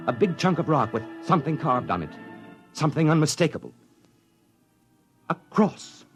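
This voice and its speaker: Brief: actor as low-voiced astronaut narrator, measured, faint music under old radio. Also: male voice, close to an everyday voice. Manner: measured, dramatic storytelling. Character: male astronaut narrator, tense and secretive. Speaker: narrator in the story. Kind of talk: 1950s radio drama narration.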